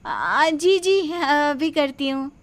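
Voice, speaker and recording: Lazy daughter-in-law, female performer, animated story: high, whiny, exaggerated, sly. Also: drawn-out words, heavily acted. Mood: flustered. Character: lazy, sly young daughter-in-law full of whining excuses. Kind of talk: animated moral storybook acting.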